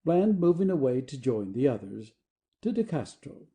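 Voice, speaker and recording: A man reading the stage directions of an audio play as expressive scripted narration. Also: mid-range voice, normal speed.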